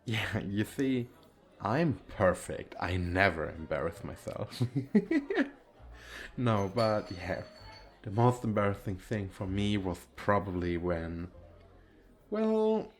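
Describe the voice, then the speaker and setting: Man acting a coy young femboy, effeminate lilt, very animated pitch, unhurried, teasing, ASMR roleplay, music behind. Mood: playfully confiding.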